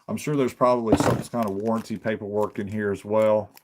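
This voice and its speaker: A man giving casual unboxing commentary, haltingly thinking aloud as he hosts his video. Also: fairly low pitch, fairly even intonation, heavy background noise.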